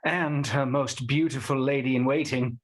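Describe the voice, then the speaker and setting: An actor playing a young outlaw in a radio play, telling the tale in an even way. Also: male voice, medium pitch, normal speed, natural voice.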